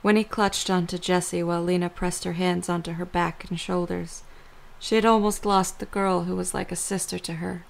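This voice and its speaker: A woman's voice: lowish voice, flat delivery, normal speed, vocal fry. A woman reading scripted story narration for an audio drama as the narrator.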